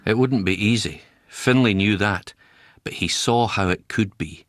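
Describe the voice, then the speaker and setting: An actor playing a kindly senior Scottish doctor who narrates a radio drama, measured and avuncular. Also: male voice, lowish voice, fairly bright tone, dry recording, studio quality. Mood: measured, sombre.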